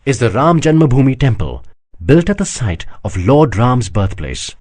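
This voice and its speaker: A man reading an audiobook, voicing a sharp-witted proud woman who teases and mocks gently. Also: mid-range voice, big pitch swings, normal speed, voice pushed up. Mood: exasperated. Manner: teasing, gently mocking. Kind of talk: audiobook character voice.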